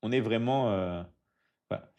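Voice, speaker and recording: A man's voice: fairly low pitch, a little slow, a little mellow. Man, business coach live streaming, casual pep talk, repetitive, lots of fillers, keeps checking in with viewers. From France.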